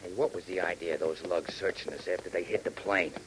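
An actor playing a blunt, excitable, uneducated American mechanic sidekick in a 1940s radio adventure. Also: male voice, deep voice, fast, lightly acted. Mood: puzzled, grumbling. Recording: noisy 1940s radio broadcast recording.

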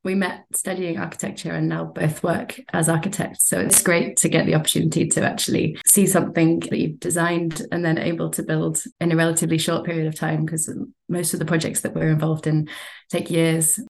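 Gravelly low-voiced woman architect. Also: flat delivery, a little mellow, rough recording. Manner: measured, explanatory, with hesitant restarts. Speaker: architect and bench designer. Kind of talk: interview answers, thoughtful.

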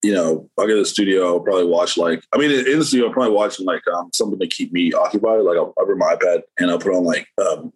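A man with a lowish, husky voice chats loosely as an interview guest. He rambles, trails off and restarts often, filling gaps with ums and likes. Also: fast talker, hardly any pauses, poor audio. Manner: rambling, many fillers and restarts. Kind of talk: casual interview conversation.

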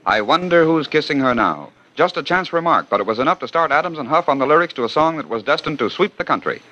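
Male 1940s radio narrator, quick crisp delivery, dull vintage sound. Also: on the high side, expressive, pushed and bright. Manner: brisk announcer delivery. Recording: dull old radio recording.

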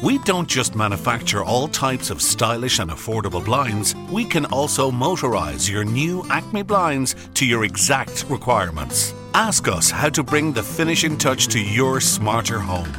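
A man's voice: medium pitch, sing-song, normal speed, over music. A man reading a scripted advertisement as a voice-over. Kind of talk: scripted radio ad read.

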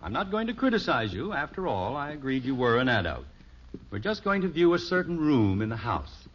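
A man's voice: medium pitch, big pitch swings, a little fast, husky. An actor playing a flustered, wordy, well-meaning middle-aged suburban father in a 1950s radio sitcom, with an American accent and a hesitant, mock-formal manner. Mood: exasperated, preachy. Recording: muffled, noisy old radio recording.

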